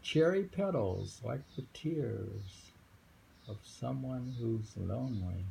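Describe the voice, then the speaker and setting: A middle-aged man reading short poems aloud and commenting casually, in a musing, halting way with frequent hums and pauses. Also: mid-range voice, animated, gravelly, dark tone. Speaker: poetry reader. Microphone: handheld phone. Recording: noisy amateur phone recording.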